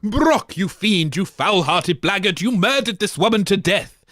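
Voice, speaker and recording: A man's voice: high-pitched, animated, a little slow, fairly bright tone, no echo, studio quality. A man voicing a pompous, chivalrous knight in rhyming verse. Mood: indignant. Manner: pompous heroic declaiming.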